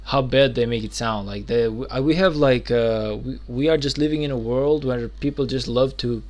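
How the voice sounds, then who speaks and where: A man's voice: mid-range voice, moderate pace, a little mellow. A man in his twenties chatting loosely while streaming a game, rambling with lots of fillers and restarts. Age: 20s. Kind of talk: casual live-stream chat.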